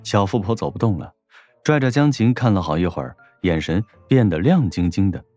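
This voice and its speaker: Lively male audiobook narrator. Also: sits low, a little fast, no room sound, faint music behind.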